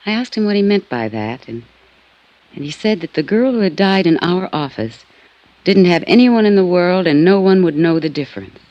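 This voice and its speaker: An actress playing a troubled American woman in her late twenties in a 1950s radio drama, speaking in a subdued, halting way. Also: on the low side, lively intonation, dry recording, dull audio, close to an everyday voice. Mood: anxious, halting. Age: young adult.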